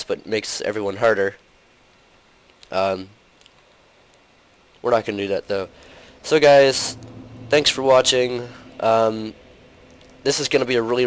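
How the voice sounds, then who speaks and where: A young man with a lowish voice narrates his gameplay in a loose, rambling way, with lots of "like" and "um". His voice is a little rough from a cold. A faint hum of noise sits under the recording. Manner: rambling, heavy on fillers like "like" and "um". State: slightly hoarse from a cold. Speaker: host of a gaming channel. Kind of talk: casual gameplay commentary.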